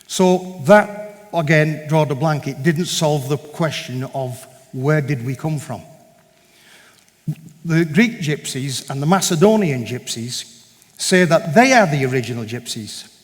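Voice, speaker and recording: A man with a somewhat high voice tells a rambling story to a church gathering and acts out the conversations he describes. His words ring out through the long echo of a big hall. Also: animated, unhurried. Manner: conversational, digressive, with quoted dialogue. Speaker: guest speaker at a church meeting. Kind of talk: storytelling talk to a congregation. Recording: long reverb of a large hall.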